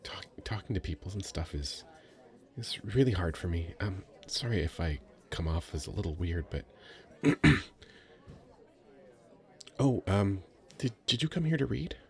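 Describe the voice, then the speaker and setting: Man acting a shy, low-voiced stammering nerd. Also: lively intonation, measured pace. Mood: nervous, apologetic. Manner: hesitant, stammering, soft-spoken, earnest. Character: very shy, sweet young nerdy male writer. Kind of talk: ASMR roleplay, addressing the listener.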